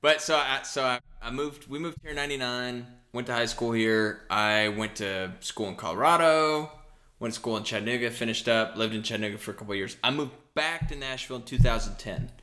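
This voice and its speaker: A man's voice: mid-range voice, a little fast, bright, forceful tone. A man in his thirties, a gym owner, chatting casually as a podcast guest and trailing off as he talks. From Hawaii and Las Vegas. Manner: rambling, self-interrupting. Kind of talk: casual chat.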